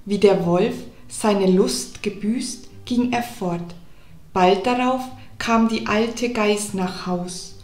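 A woman narrating a scripted children's fairy tale deliberately, pausing between phrases. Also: mid-range voice, a little mellow, reverberant. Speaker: storyteller.

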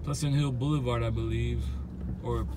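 A man's voice: mid-range voice, steady tone, normal speed. A man giving an unscripted driving tour from inside a car, pointing out passing sights in short phrases. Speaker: vlogger narrating a drive. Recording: loud car and road noise. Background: car engine and traffic noise.

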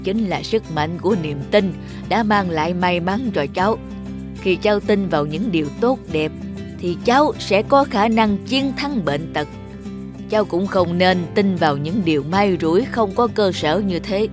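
A kindly old grandfather from a cartoon. He speaks gently and reassuringly, as if caring for little ones. Clear background music plays under him. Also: high voice, animated, normal speed. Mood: gentle, wise. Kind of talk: cartoon dubbing. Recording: music behind the voice.

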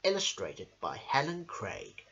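A young adult reading children's picture books aloud in an emphatic, deliberate way. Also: medium pitch, huge pitch swings, sharp tone. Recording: dull lo-fi camera sound.

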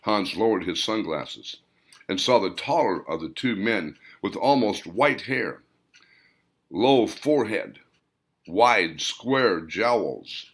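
A man reading a story as a scripted audiobook narration, in deliberate, dramatic phrases broken by pauses. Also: fairly low pitch, expressive, breathy edge. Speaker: author reading own novel, narrator.

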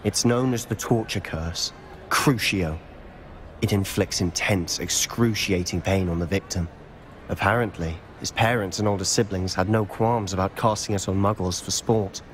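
Man playing an earnest low-voiced British schoolboy wizard. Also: normal speed, a little hiss or hum, lightly acted. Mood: grave, confiding. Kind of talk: video game dialogue acting. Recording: music under the voice.

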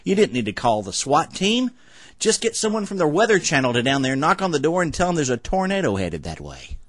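A man's voice: fairly high pitch, very animated, a little fast, fairly bright tone, dry recording. A middle-aged man hosting an amateur news show, reading stories casually with sarcastic comic asides. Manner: sarcastic, wry. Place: small home room at a desk. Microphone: desk microphone close in front.

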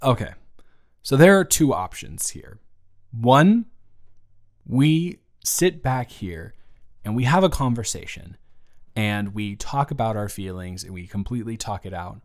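A man's voice: fairly low pitch, animated, a little slow. A man improvising a romantic audio roleplay as an awkward, teasing young man talking to his best friend, with a hesitant, stammering delivery. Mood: shy, eager. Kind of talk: improvised romantic audio roleplay, intimate one-sided conversation.

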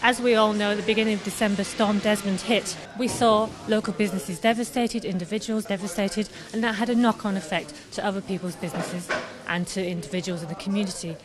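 A young woman from Scotland talks at an even middle pitch, eager and chatty, often pausing on ums and restarts. Her voice sits close on a handheld microphone in a busy pub. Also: crowd noise. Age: young adult. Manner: chatty, enthusiastic, many fillers. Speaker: artist guest. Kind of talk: live radio interview. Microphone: handheld mic held by host.

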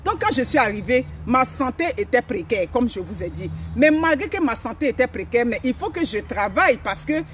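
A woman's voice: on the high side, expressive, brisk, hardly any pauses, slight background noise. A middle-aged woman from Africa, hosting a motivational live stream outdoors in a forest, speaking emphatically and repeating her phrases. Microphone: phone camera at a few steps.

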